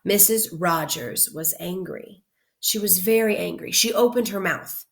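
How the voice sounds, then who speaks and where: A woman reading a children's story aloud like a teacher, animated and clearly phrased for young listeners. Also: on the low side, unhurried. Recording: roomy indoor echo.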